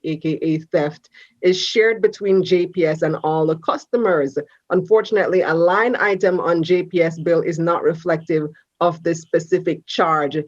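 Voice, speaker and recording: A woman hosts a TV business interview and asks direct, pressing questions. Her voice is mid-pitched and comes through slightly dull and lo-fi. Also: a little mellow. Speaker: host.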